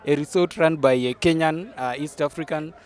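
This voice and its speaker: Man on an outdoor panel, somewhat higher voice, welcoming, hesitant fillers. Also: moderate pace, darkish tone. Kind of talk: casual panel chat.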